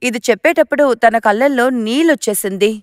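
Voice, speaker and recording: A woman's voice: on the high side, expressive, fast, clean tone, no room sound, studio quality. A woman reading scripted moral-story narration as the narrator.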